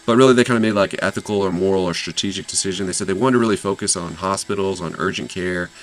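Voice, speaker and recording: A man's voice: sits low, fast talker, slight background noise. A man hosting an investing channel, casually summarizing an earnings call with lots of fillers and self-corrections.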